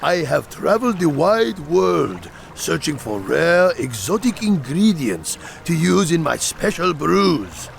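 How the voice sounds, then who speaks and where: A man's voice: a little high, big pitch swings, vocal fry, faint music behind, heavily acted. A man voicing a jolly, humble wandering brewmaster creature in a video game, genial and ale-loving. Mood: jovial. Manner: unhurried, genial, chuckling storyteller. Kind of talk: video game character acting.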